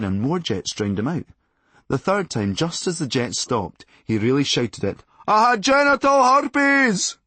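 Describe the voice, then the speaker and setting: A man's voice: lowish voice, slightly rough, dry recording. A man narrating a comic memoir audiobook from a script, with a dry, sardonic delivery.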